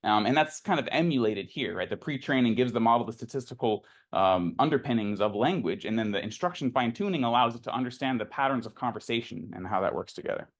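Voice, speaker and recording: A man's voice: medium pitch, lively intonation, fast, few pauses. A man who is a researcher giving an informal academic lecture, explaining things in a conversational way with rhetorical questions and asides.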